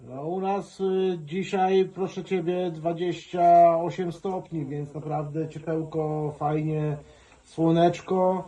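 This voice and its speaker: A man's voice: fairly high pitch, fairly even intonation, takes it slowly, dark tone. Friendly chatty man on ham radio. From Poland. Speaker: amateur radio operator. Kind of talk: casual ham radio contact.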